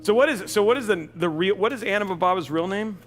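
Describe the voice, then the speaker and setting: A man's voice: high-pitched, animated, quick pace, fairly bright tone, faint music behind. A young man hosting a casual live stream while building a PC, playful and teasing with his co-host.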